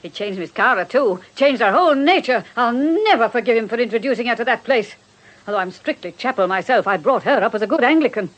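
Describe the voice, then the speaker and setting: A woman's voice: on the high side, animated, fast, low-quality recording. A woman playing an elderly housekeeper and former nanny in a radio drama, devout, bitter and grieving, with fervent righteousness and a servant's deference. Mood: indignant, scornful. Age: older.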